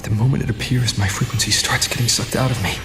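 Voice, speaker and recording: A man playing a calm, steady young wanderer hero in an anime game. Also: medium pitch, fast talker, music in the background, close to an everyday voice. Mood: grave, analytical. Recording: echoey, noisy game livestream capture.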